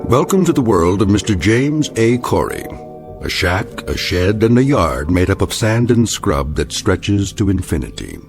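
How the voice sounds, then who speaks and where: The grave narrator of an eerie radio tale, with a very deep voice that rises and falls widely. He is solemn and deliberate, with music playing clearly behind him. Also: darkish tone. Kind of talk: scripted radio drama narration.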